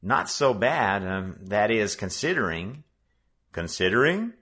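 A man's voice: lowish voice, big pitch swings, normal speed, bright, forceful tone. A man reading a story aloud, voicing an earnest, eager teenage boy talking to his father.

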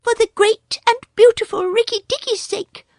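Storybook bird: a small, vain male tailorbird, high and sing-song, very dry close sound, voiced by a woman. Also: steady tone, moderate pace, no room sound. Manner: sing-song, singing triumphant praise.

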